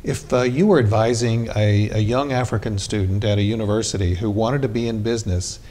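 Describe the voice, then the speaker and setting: A man's voice: fairly even intonation, a little fast, dark tone. Inquisitive male TV interviewer. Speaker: TV interviewer, host. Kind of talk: interview questions. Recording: roomy reverb with faint rumble.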